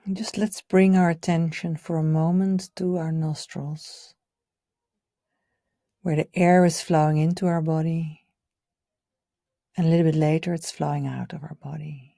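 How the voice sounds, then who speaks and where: Woman doing guided meditation, low mellow voice, soft and gentle, really close dry sound. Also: level tone, no echo. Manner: soothing, gentle, unhurried, with audible sighs and breathy pauses. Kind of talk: guided meditation, live session.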